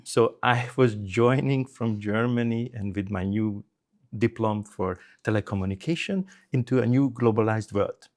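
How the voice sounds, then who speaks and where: A man's voice: on the low side, moderate pace, a little mellow. A middle-aged man with a Hungarian accent, speaking conversationally as an interview guest. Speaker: interview guest, former telecom executive.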